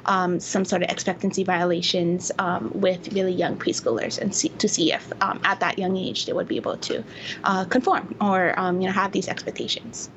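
A young woman from Hawaii, a communication researcher, explaining her work in an interview with many pauses and ums. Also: on the low side, steady tone, dull audio, slight background noise. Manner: hesitant, many ums, thoughtful. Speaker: guest researcher. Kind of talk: academic interview.

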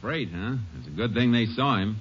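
An actor playing a calm, methodical American lawman in an old-time radio drama, speaking in a dry, courteous, folksy way. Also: medium pitch, normal speed, husky. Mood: thoughtful. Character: calm, methodical male Texas lawman investigating a murder. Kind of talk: old-time radio drama acting and first-person narration. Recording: muffled vintage radio recording with music.

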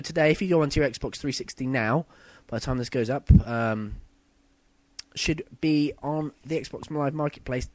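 A young man hosting a casual podcast, a bit husky from a sore throat, chatty and rambling. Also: medium pitch, no echo. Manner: chatty, loose and rambling, with fillers and self-corrections. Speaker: podcast host. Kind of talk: casual solo podcast chat.

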